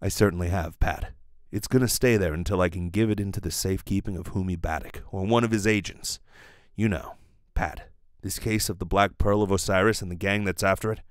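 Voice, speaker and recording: A cool police detective acted by a man speaks in a low, measured voice. He is matter-of-fact and authoritative, like the hero of an old radio serial. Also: fairly even intonation, no room sound, clean studio sound, natural voice. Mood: calm authority.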